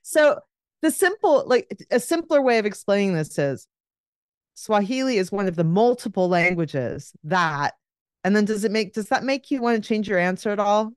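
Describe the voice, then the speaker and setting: A woman's voice: medium pitch, lively intonation, normal speed, clean tone, no echo, studio quality. A middle-aged woman on a video call, patiently explaining a grammar point step by step as a guest expert.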